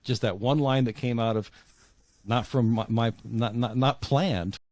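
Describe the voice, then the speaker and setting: A man's voice: medium pitch, a little slow, a little mellow, dry recording. A middle-aged or older man talking reflectively as an interview guest, often repeating words as he searches for phrasing.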